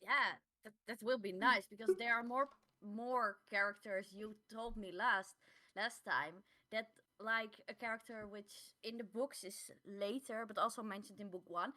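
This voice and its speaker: A woman's voice: mid-range voice, steady tone, a little slow, sharp tone. A native Dutch woman speaking English in a casual discussion as a podcast co-host.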